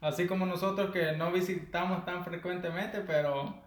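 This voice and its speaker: Salvadoran man, higher voice, roomy sound. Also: steady tone, normal speed. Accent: Salvadoran Spanish. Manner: earnest and reflective, laughs easily. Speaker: podcast co-host. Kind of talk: casual chat.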